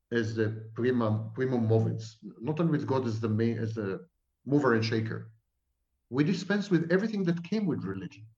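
A man's voice: mid-range voice, warm tone, roomy sound, dull audio. A man from Israel, a professor answering at length in an emphatic, repetitive lecturing manner. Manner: emphatic, repetitive, sweeping declarations. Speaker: psychology professor guest.

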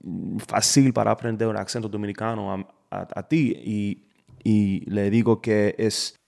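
A man's voice: lowish voice, steady tone, a little slow, gravelly, a little mellow. A man chatting casually in a loose, slangy way, switching into English and restarting his phrases. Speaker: conversation partner asking questions. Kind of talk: casual chat. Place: Miami.

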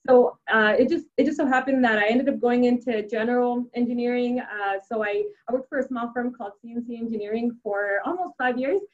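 Young adult woman, native Spanish speaker, upbeat engineer guest; slightly high voice, dull lo-fi sound. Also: brisk, few pauses. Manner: many restarts and fillers, upbeat. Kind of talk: casual interview answers.

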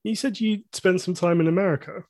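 A man hosting a podcast interview, enthusiastic and full of quick reactions. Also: sits high, darkish tone, no room sound, studio quality. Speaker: podcast host. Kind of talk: casual interview.

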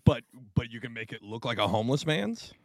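A man's voice: medium pitch, big pitch swings, brisk, dry recording. A man co-hosting a podcast, blunt and crude, roasting the others in casual banter. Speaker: podcast co-host.

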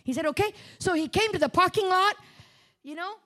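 A woman preacher with a very high voice and a Malaysian accent. She tells her sermon as a story, acting out both sides of conversations in an animated, joking way, with colloquial particles sprinkled through. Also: fairly quick. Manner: animated, colloquial, humorous, with local particles like lah and ah. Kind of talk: storytelling sermon with acted-out dialogue.

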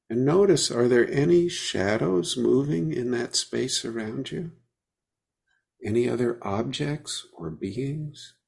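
A man leading a guided meditation, speaking gently with unhurried pauses between phrases. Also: medium pitch, gravelly.

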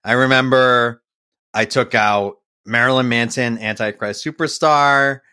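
A man's voice: medium pitch, steady tone, a little slow, pushed and bright, clean tone, dry recording, studio quality. A man from Massachusetts, a guest musician on a podcast, telling stories in a rambling casual way full of fillers and restarts.

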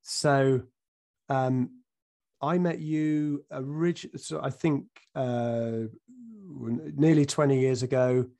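Croaky male podcast host, halting. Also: medium pitch, fairly even intonation, dark tone. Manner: hesitant, many ums and restarts. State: croaky from a cold. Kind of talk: casual interview.

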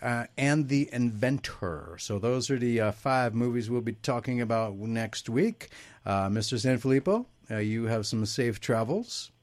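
A man in the host's seat of a casual movie chat, medium-pitched, moving the panel along round by round. He drops quick asides between the ratings. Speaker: podcast host.

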